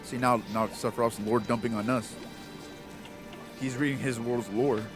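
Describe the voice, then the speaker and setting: A man's voice: medium pitch, steady tone, normal speed, over music. A man doing casual let's play commentary, joking and slangy as he streams.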